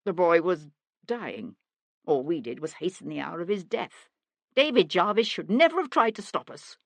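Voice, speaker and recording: A woman's voice: low voice, lively intonation, normal speed, vocal fry, no room sound. A woman voicing a blustering middle-aged merchant man in an audiobook, indignant, guilty and pleading. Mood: desperate pleading.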